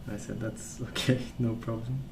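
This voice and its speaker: A man speaking softly in a calm, gentle, unhurried way, rambling in a casual ASMR storytelling style. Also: medium pitch. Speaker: ASMR video creator. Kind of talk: soft-spoken ASMR rambling. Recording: roomy sound with clear background noise.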